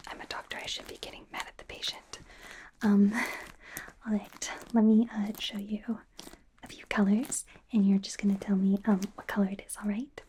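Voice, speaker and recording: A woman voicing a bumbling, eager werewolf doctor in training in a soft breathy whisper, stammering through an ASMR exam roleplay. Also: medium pitch, lightly acted. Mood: defensive, flustered, then gentle, focused. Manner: hesitant, stammering, softly flustered. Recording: faint background noise, close-up whispering.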